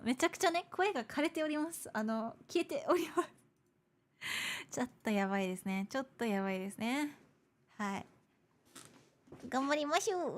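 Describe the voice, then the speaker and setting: A young woman streaming live, chatting casually with viewers in a bubbly, giggly way while her voice is going hoarse. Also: high-pitched. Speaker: VTuber streamer. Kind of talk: casual livestream chat, switching between English and Japanese.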